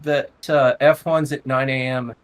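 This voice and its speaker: A man's voice: medium pitch, fairly even intonation, measured pace. A man chatting casually on a game stream. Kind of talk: casual chat.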